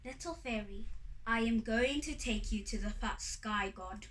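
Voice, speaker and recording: Folktale trickster , acted by a woman: wily, coaxing, confident, bright and deliberate. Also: a little high. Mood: sly, firm.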